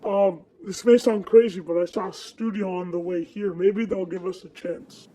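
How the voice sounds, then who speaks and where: A man's voice: high voice, fairly even intonation, moderate pace, mellow, a little hiss or hum, lightly acted, low-quality recording. A young man playing a laid-back young drummer, Jeff. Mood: casual. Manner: casual, laid-back, says "dude".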